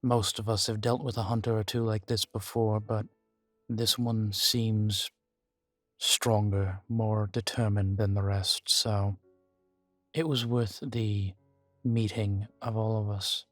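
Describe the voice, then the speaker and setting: An ancient vampire voiced by a man speaks slowly in a fairly low voice, grave and guarded. His delivery is level and deliberate, weary with long experience, pausing for weight. It is an intimate audio roleplay, recorded dry with music underneath. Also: flat delivery, fairly bright tone, no echo. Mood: grave, measured. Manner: measured, deliberate, with dramatic pauses. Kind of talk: scripted audio roleplay addressed to the listener.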